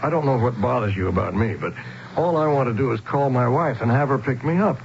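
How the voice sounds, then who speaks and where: An actor playing a weary, bewildered middle-aged commuter who grows impatient, in a suspenseful radio drama with first-person storytelling. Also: male voice, medium pitch, lively intonation, a little fast, creaky. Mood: impatient, wary. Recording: dull, noisy 1970s radio broadcast.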